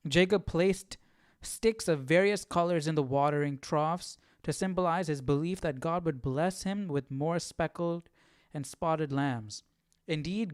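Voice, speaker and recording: A man's voice: fairly high pitch, clean tone, no echo, studio quality. A man hosting a casual Bible study livestream, reading aloud and musing over it with informal questions. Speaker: livestream host.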